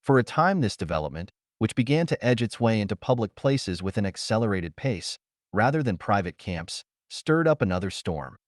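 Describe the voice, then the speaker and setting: A man's voice: on the low side, sing-song, moderate pace, no echo, studio quality. A man reading a pulp science fiction story as a scripted audiobook narration.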